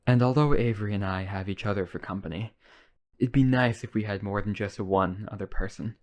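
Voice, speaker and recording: Low male voice, obsessive vampire brother, acted by a man, lonely, earnest, coaxing, roleplay drama. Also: fairly quick, darkish tone, close to an everyday voice. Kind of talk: boyfriend ASMR roleplay audio drama.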